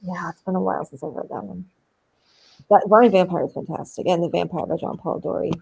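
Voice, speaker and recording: A woman chatting casually over a livestream video call, with a creaky, husky voice. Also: on the low side, fast talker, darkish tone, rough recording.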